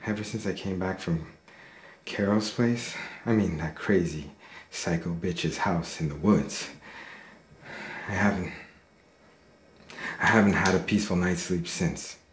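Horror film role: male actor as haunted, foul-mouthed, agitated young man, deep voice, echoey room. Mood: weary, unsettled. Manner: halting, sweary, agitated. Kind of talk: found-footage horror film acting, confessional to camera. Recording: roomy echo, faint background noise.

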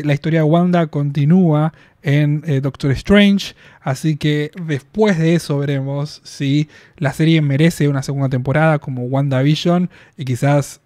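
A young Argentine man gives a casual spoken review into a boom mic in a small room. His voice sits a bit high but mellow, and it sounds dry and close. He is chatty, laughs often and stretches out his fillers. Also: no room sound. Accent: Argentine Spanish. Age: 20s. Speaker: reaction video host. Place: small room in front of packed collectible shelves. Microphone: studio mic on boom arm, headphones on.

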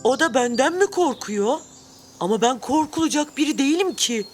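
A woman's voice: sits high, lively intonation, normal speed, a little mellow. A woman voicing a timid, good-natured young elephant in a children's cartoon, with fretful, exaggerated delivery. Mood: upset. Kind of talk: animated children's cartoon dialogue. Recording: music bed behind the voice.

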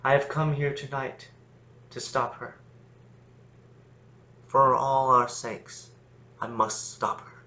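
A man playing a fearful, lonely magician who calls himself lord of the sun, in a deliberate, theatrical monologue. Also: medium pitch, steady tone, sharp tone. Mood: grave, determined. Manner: dramatic, incantatory, deliberate.

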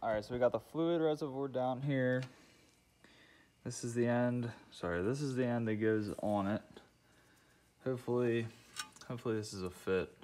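A man's voice: mid-range voice, normal speed, pauses often, darkish tone, slight background noise. A young man casually talking through a car repair step by step in his garage, thinking aloud as he works. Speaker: car DIY channel host. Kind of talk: casual how-to narration while working. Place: home garage, concrete floor.

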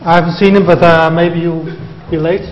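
A man's voice: fairly high pitch, flat delivery, a little fast, slight background noise. A man chairing a conference session, introducing the next speakers hesitantly with fillers. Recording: reverberant muffled hall.

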